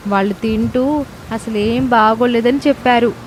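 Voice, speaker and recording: A woman's voice: on the high side, steady tone, moderate pace, a little hiss or hum, lightly acted, low-quality recording. A woman voicing a candid, chatty schoolchild talking to a parent in a cartoon moral story.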